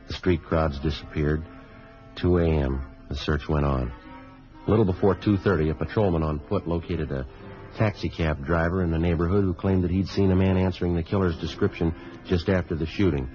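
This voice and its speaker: A dull vintage radio recording of a very deep-voiced police sergeant. He is calm and weary, talking quickly in clipped, matter-of-fact phrases, as in a 1950s detective drama. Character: calm, weary male police sergeant narrating his case.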